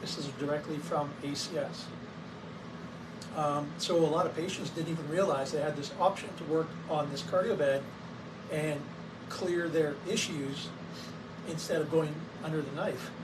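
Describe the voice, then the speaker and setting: A hoarse man getting over a cold, chatting casually to camera about his clinic's equipment. His voice sits a little high, and he stops often on fillers and the odd cough. He sounds set back from the mic, with steady noise behind him. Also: normal speed. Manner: coughs between sentences, many fillers. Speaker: wellness clinic owner. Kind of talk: casual educational talk to camera. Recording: distant mic with clear background noise.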